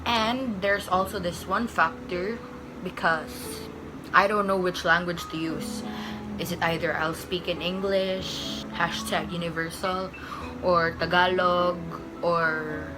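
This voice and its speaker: A young Filipino woman with a Filipino accent talks in an unhurried, slightly low voice, meandering through a makeup tutorial and catching and correcting her own words. Clear background music plays under her whole home vlog. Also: rough recording. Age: young adult. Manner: rambling, self-correcting, giggly asides. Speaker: beauty vlogger. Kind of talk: casual chatty makeup tutorial.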